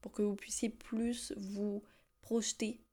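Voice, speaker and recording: A woman's voice: mid-range voice, level tone, slow, mellow. A young woman from France hosting her own podcast, talking through her thoughts with many fillers and restarts. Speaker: podcast host. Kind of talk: solo podcast talk.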